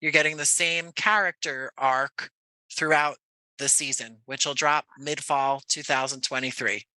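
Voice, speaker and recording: An American man talking conversationally as an interview guest, choosing his words in an articulate, measured way. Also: medium pitch, fairly even intonation, bright, forceful tone, dry recording, rough recording. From Jersey City, United States. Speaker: podcast guest, audio play creator.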